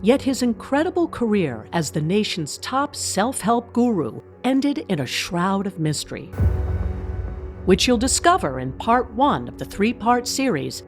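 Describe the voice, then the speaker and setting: A woman's voice: medium pitch, sing-song, unhurried. A woman narrating a scripted documentary in a storytelling style. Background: music.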